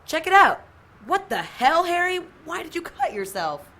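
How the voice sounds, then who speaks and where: An actress playing a feisty, skeptical policewoman with a sharp tongue in an audio drama. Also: very high-pitched, very animated, normal speed, heavily acted. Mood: exasperated. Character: feisty, skeptical armed female police officer, sidekick.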